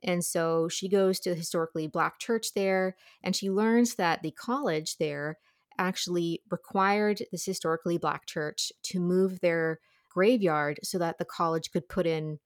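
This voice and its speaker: A woman's voice: lowish voice, a little slow, dry recording, studio quality. A woman talking through a book conversationally as a guest reviewer on a podcast.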